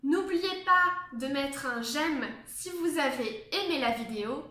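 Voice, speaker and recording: A woman teaching a beginners' French lesson, speaking slowly and clearly and adding English glosses. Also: high voice, animated, bright, forceful tone. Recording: echoey room.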